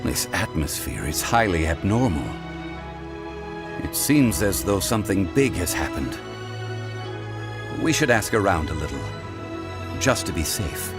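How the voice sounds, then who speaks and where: An actor voicing a calm, dignified male consultant in a game, speaking in a measured, formal way. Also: low-pitched, full character voice. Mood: composed. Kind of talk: video game voice acting. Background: soft game music.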